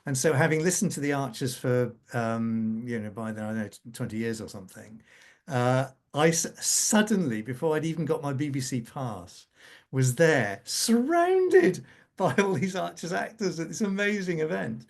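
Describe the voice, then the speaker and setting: A man's voice: on the high side, sing-song, normal speed. A middle-aged or older man, a scriptwriter, telling anecdotes informally on a panel, repeating and restarting his phrases. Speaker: radio drama scriptwriter on a panel. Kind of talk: informal anecdotal conversation at a conference.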